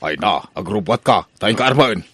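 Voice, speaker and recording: Man acting an exasperated Ilocano father, animated. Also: lowish voice, moderate pace, slightly rough, no echo. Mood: pushy, scolding. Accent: Filipino, Ilocano. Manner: exclamatory, stammering interjections. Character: exasperated, nosy father of grown children. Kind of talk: comedic radio drama acting.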